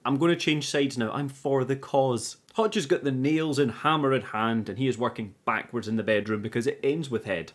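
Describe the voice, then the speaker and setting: A man's voice: mid-range voice, moderate pace, few pauses. A young man giving a casual, sweary movie recap as a review host, chatty and irreverent with cheeky asides. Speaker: host of a film review channel.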